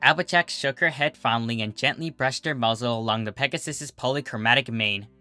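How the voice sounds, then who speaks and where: A storyteller reading scripted fiction aloud in an expressive narrating style. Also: mid-range voice, a little fast, faint music behind. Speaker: storyteller, fan fiction reader.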